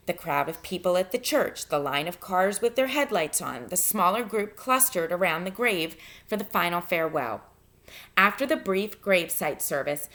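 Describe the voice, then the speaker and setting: A woman teacher reading a novel aloud to students in an expressive, instructive way, pausing to give guidance. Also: fairly low pitch. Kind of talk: read-aloud lesson, narration with spoken instructions.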